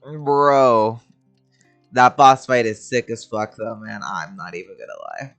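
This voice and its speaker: A man's voice: fairly low pitch, lively intonation, measured pace, gravelly, a bit bright. A young man giving casual gameplay commentary as a streamer, with slangy, sweary, jokey phrasing. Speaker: gaming streamer. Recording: game music under commentary.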